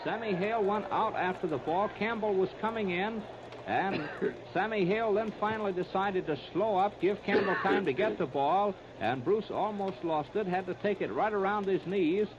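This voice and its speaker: American male play-by-play baseball announcer, 1930s radio, higher-pitched voice, scratchy old disc sound. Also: moderate pace. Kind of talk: 1930s radio sports commentary. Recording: scratchy, muffled 1939 radio disc recording.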